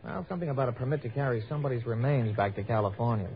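An American actor playing an easygoing, helpful police sergeant in a 1960 radio drama, chatty and informal. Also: male voice, mid-range voice, rapid-fire, natural voice. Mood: casual, helpful. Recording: muffled old radio recording.

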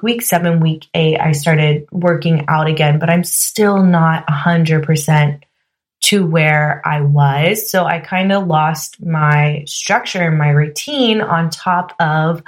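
Slightly hoarse, chatty woman podcast host. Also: low voice. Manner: candid and chatty, with many fillers like "you know". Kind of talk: casual solo podcast monologue.

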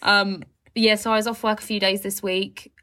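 A woman's voice: medium pitch, little pitch movement, moderate pace, pushed and bright. A young woman co-hosting a podcast, chatting candidly and swearing freely, a little snotty from a cold. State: has a cold, a bit snotty. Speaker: podcast co-host. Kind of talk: casual chat.

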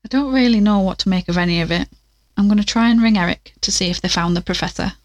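A woman's voice: mid-range voice, fast talker, dry recording, natural voice. A woman playing an anxious, weary investigator who speaks casually and hesitantly into an audio diary in an audio drama. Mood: weary, uneasy.

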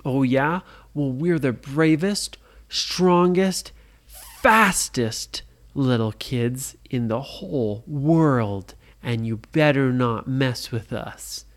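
A storyteller voicing a brave young boy hero who shouts boldly and boasts. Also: mid-range voice, slow pace, few pauses, voice pushed up, close to an everyday voice. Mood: boastful. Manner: loud, bold, boastful.

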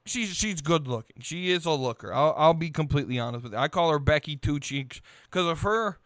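A man's voice: fairly high pitch, animated, brisk, a bit bright, no room sound. A man hosting a podcast solo, talking casually with strong opinions in a blunt, sweary manner. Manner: blunt, profane. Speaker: wrestling podcast host.

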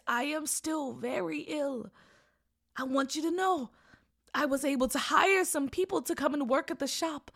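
A woman's voice: high voice, fairly bright tone, heavily acted. A woman voicing a loving, worried father in a story, tender and pleading, drawing out the words. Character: loving, worried, ailing father of two children.

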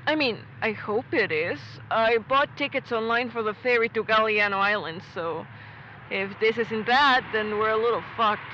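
A woman's voice: on the high side, normal speed, close to an everyday voice. A woman acting a casual, unsure young traveler in a naturalistic audio drama. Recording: dull lo-fi audio, faint vehicle noise.

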